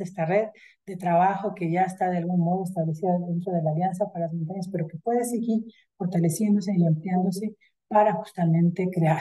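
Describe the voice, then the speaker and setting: A middle-aged woman giving closing remarks on a video call, measured and hesitant, with many fillers. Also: lowish voice, mellow, poor audio. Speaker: institutional representative. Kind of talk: closing remarks at an online event. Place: indoors with virtual background.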